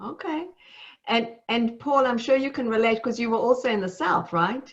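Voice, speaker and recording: A woman's voice: fairly high pitch, animated, moderate pace, lo-fi sound. A woman hosting an interview, asking nurses questions and encouraging them. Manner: encouraging, asks follow-up questions. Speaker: host.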